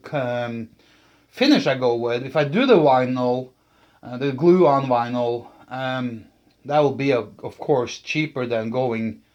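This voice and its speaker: A man talking casually and unscripted about a hobby build, thinking aloud in a hesitant, halting way as he presents. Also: mid-range voice, a little mellow, highs cut off. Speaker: channel host, speaker builder.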